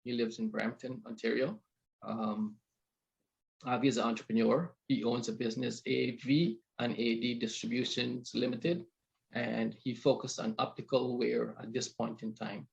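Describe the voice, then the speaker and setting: A man hosts a casual interview show, speaking sincerely but haltingly and often repeating himself. His voice is gravelly with a lot of fry. The recording sounds dull and muffled. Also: medium pitch, little pitch movement. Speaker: podcast host. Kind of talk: casual interview, introducing a guest.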